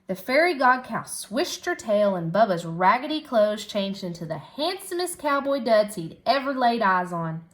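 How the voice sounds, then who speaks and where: A woman reading a children's story aloud in an expressive schoolteacher style. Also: on the high side, normal speed, few pauses. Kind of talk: animated children's storybook narration.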